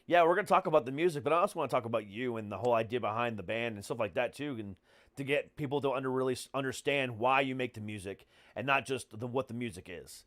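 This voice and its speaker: A man's voice: medium pitch, fast, a bit bright, no room sound. An American man hosting a podcast in casual chat, speaking bluntly and swearing freely. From United States. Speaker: podcast host and comedian.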